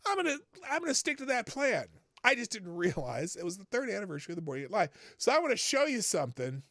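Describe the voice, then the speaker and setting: A man hosting a live talk stream from a studio, chatting casually in a rambling, animated way that repeats phrases and drops the odd swear word. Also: high voice, fast talker, no echo. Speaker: livestream talk show host. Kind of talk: casual live-show chat. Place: home studio. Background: faint music.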